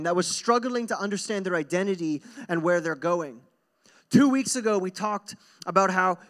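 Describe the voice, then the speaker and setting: A young man preaching as a pastor in an informal, earnest, conversational way, repeating phrases for emphasis, speaking into a handheld microphone on stage. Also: high-pitched. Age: young adult. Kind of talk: conversational sermon to a congregation. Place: stage in a church hall.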